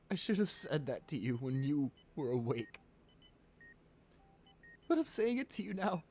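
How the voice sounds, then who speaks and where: A man playing a ruthless but devoted lover in an intimate romantic ASMR roleplay, speaking in a halting, emotional, pleading way. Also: high voice. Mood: tender regret.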